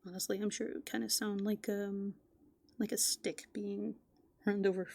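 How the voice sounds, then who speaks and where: A soft-spoken woman doing rambling, playful ASMR as a raccoon, musing aloud and often slipping into whispers. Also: medium pitch, level tone, normal speed, vocal fry. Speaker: ASMR host. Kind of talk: furry roleplay ASMR, improvised rambling.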